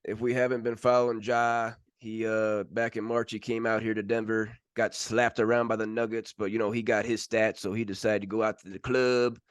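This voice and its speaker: A man co-hosting a sports podcast, relaying news in casual, informal chat with a halting delivery. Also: on the low side, little pitch movement, clear, resonant tone, no echo, clean studio sound.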